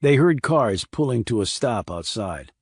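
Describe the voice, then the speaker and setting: A male narrator reads a crime thriller in a measured, serious manner. His pitch is medium, and the recording is very dry, with no audible room. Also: animated, husky, no room sound. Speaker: audiobook narrator.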